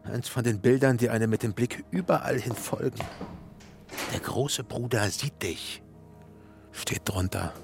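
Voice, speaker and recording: An actor playing a wary dissident man in a radio drama, confiding haltingly as if to listeners. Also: medium pitch, gravelly, over music. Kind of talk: modern radio drama monologue.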